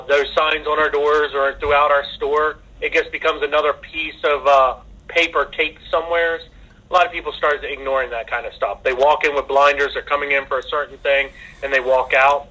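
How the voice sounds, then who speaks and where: A man with a bright, forceful and somewhat husky voice briefs staff informally as a manager. His voice comes over a tinny conference phone line. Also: fairly high pitch, little pitch movement, moderate pace. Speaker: retail manager leading a staff conference call.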